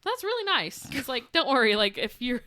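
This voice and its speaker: A young woman chats through a fairytale on a podcast, laughing often and tossing in sarcastic asides. Her voice is bright and lively in pitch, and the sound is close and dry. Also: brisk, no echo. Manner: giggly, sarcastic, acts out quotes in voices. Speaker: podcast co-host. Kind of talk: casual storytelling chat.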